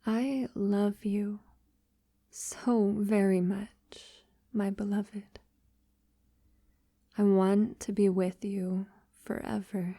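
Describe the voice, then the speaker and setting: A tender, long-lived female vampire acted by a woman speaks intimately to a lover in an audio roleplay. The voice is dark and soft, very slow, with hesitant pauses and breathy near-whispers. The sound is dry and close. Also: mid-range voice, flat delivery, no echo. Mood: loving, devoted.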